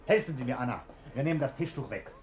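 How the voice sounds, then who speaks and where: An actor playing a fussy, nervous well-off householder who gives bossy orders in a German radio play. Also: male voice, mid-range voice, lively intonation, fast talker, darkish tone, soft background music, natural voice. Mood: fussy, agitated.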